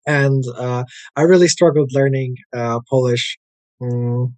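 An American man in his thirties talks easily about his work as a language coach. He rambles a little, with plenty of "like" and "uh" fillers. His voice sits at an ordinary male pitch, and the recording is close and dry with no room sound. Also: unhurried, dry recording. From United States. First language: English. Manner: relaxed and chatty, many "like" and "uh" fillers. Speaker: guest, English language coach. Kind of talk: casual interview conversation.

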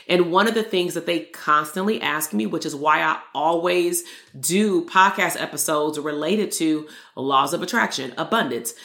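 Low-voiced woman coaching in an echoey room. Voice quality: loud voice. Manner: earnest, coaching, repeats key lines for emphasis. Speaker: podcast host and therapist coach. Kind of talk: solo motivational podcast talk.

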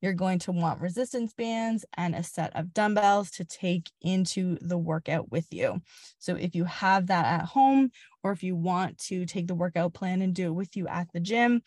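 A woman's voice: on the low side, moderate pace, darkish tone, no room sound, studio quality. A woman podcast host and fitness coach speaking casually and directly to listeners in a friendly way. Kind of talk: casual solo podcast talk.

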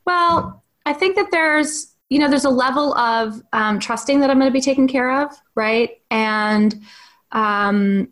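A woman's voice: sits high, a little slow, a bit bright. Woman, frank, reflective, casual swearing, restarts, conversational. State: single mom. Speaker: acting coach and podcast guest. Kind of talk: candid conversational interview.

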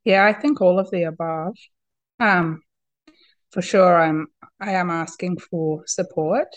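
A woman with a cold and a husky voice, talking candidly as a podcast guest, laughing often and restarting her sentences. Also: fairly low pitch, slow pace, muffled recording. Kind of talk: candid personal conversation.

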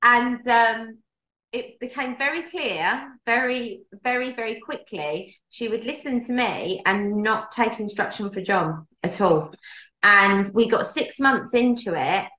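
A woman's voice: a little high, a little slow, bright, forceful tone. Chatty woman in an echoey room. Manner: chatty, laughs often, trails off and restarts. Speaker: podcast co-host and dog trainer. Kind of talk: casual chat.

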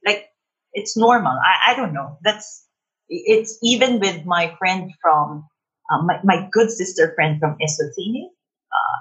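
A woman from the Philippines, a Tagalog native speaking English as a business coach guest, animated and giggly. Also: lowish voice, unhurried, lo-fi sound. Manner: animated, giggly, self-assured. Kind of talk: casual interview.

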